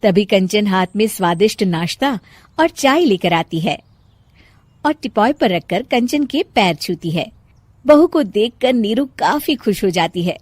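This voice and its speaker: A woman narrates a scripted moral tale as the storyteller. Her mid-pitched voice moves with lively intonation, and the recording is dry and close. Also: a little slow, no room sound.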